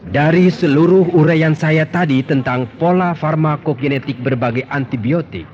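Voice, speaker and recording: A formal professor lecturing in a radio spot. His fairly high voice swings widely in oratorical fashion, on a dull recording. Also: darkish tone. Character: formal male professor lecturing medical colleagues. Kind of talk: acted lecture in a radio advert.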